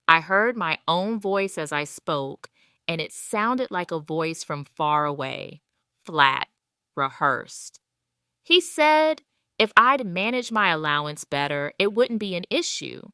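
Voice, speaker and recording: A woman narrates a scripted audio drama in the first person as a wronged retired wife. Her voice is low and bright, and she tells it at a slow, deliberate pace with measured phrasing. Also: sing-song, clean tone, no room sound, studio quality.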